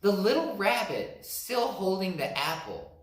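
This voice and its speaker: A man, a teacher, narrating a children's picture book aloud in an expressive way. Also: high-pitched, unhurried, a little hiss or hum. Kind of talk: storybook read-aloud. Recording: roomy echo.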